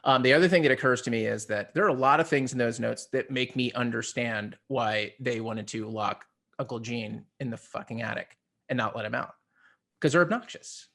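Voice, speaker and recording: A man with an average-pitched voice talks as a podcast co-host. He is opinionated and emphatic, putting his points bluntly in a casual, salty conversational style. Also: a little fast, vocal fry, fairly bright tone. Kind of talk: opinionated casual panel discussion.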